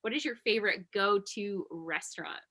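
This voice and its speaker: Bright-voiced enthusiastic woman host. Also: mid-range voice, moderate pace. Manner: enthusiastic and encouraging. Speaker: podcast host. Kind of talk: casual interview chat.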